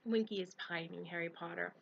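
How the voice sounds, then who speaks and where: A woman's voice: on the low side, steady tone, brisk, vocal fry, highs cut off, slight background noise. A woman reading aloud, voicing an anxious, devoted male house elf who talks about himself in the third person. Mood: sad.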